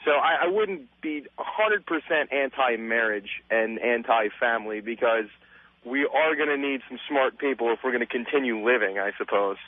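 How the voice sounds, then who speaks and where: Husky young man calling a radio show. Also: medium pitch, normal speed, dry recording. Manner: hesitant, conciliatory. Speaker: radio show caller, Marine. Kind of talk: casual call-in conversation. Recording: dull lo-fi radio call.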